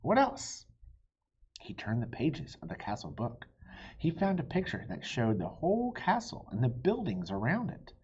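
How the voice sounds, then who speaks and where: A man reading a children's book aloud as an expressive narrator. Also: mid-range voice, normal speed, husky, highs cut off. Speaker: storybook reader.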